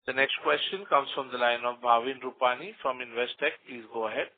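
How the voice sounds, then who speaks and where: A man's voice: medium pitch, steady tone, fairly quick. Formal male call operator over phone line. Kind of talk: formal scripted announcements. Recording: narrow phone conference line.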